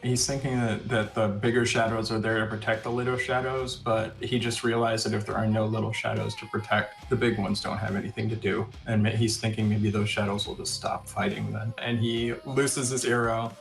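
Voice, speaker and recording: A man with a lowish voice full of creak, casually describing his character's actions in a tabletop game. His intonation stays fairly even, and the sound is roomy. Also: a little fast, few pauses. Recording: roomy sound with faint music behind.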